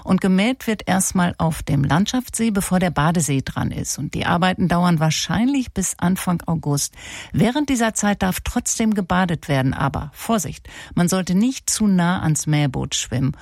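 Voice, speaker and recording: A woman's voice: on the low side, lively intonation, moderate pace, gravelly, darkish tone, dry recording, clean studio sound. A woman radio reporter giving a plain, explanatory scripted report.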